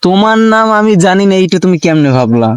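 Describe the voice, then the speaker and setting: A man's voice: high voice, big pitch swings, quick pace, smooth, no room sound. A man with a Bangladeshi accent chatting casually as a prank call host, teasing and pressing with questions. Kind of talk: casual teasing phone chat in colloquial Bengali.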